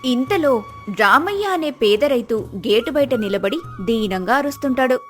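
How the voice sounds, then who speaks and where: A woman with a fairly high voice narrates a moral story. It is scripted storytelling, with light music underneath. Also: expressive, normal speed.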